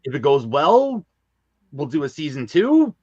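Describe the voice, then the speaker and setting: A man's voice: a little high, very animated, unhurried, a little mellow, no echo, lo-fi sound. A man talking casually and candidly as a podcast interview guest, with emphatic, colloquial phrasing. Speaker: guest, audio drama writer and filmmaker.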